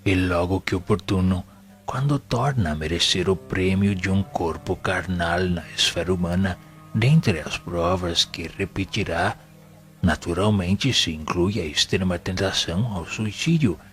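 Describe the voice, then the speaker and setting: Actor as a deep-voiced, venerable Brazilian spirit mentor. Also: male voice, fairly bright tone, faint music behind. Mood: solemn, instructive. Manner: didactic, formal, measured. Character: venerable male spirit mentor, wise, solemn, patient. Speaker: audio drama actor. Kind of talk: solemn scripted spiritist teaching in an audio novel.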